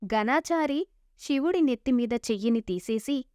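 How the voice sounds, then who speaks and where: A woman's voice: sits high, animated, normal speed, darkish tone, smooth, dry recording, studio quality. A woman reading scripted narration of a moral folk tale as a storyteller.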